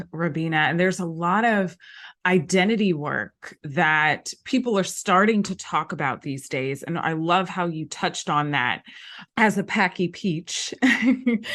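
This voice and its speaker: A woman's voice: on the low side, fairly bright tone. A woman hosting an interview, enthusiastic and affirming toward her guest. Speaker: host.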